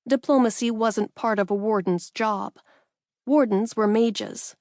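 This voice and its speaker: A woman's voice: fairly high pitch, measured pace, a little mellow, no echo, clean studio sound. A woman reading a first-person fantasy audiobook as a scripted narrator.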